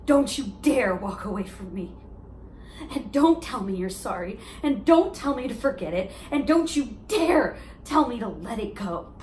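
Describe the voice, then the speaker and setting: A woman performing an amateur theater monologue as a heartbroken high school girl, insistent and emotional, with deliberate dramatic pauses. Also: expressive, a little mellow, reverberant, slight background noise. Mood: angry, hurt.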